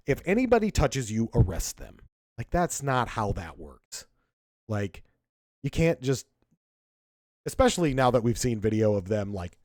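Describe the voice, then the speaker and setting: A man's voice: mid-range voice, a little mellow, no echo, clean studio sound. A man co-hosting a podcast, giving emphatic, indignant political commentary with frequent restarts. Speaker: podcast co-host.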